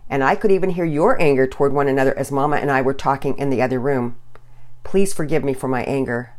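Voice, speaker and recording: A woman voicing an exasperated, flustered father in a children's story, stammering and stumbling over his words. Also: a bass voice.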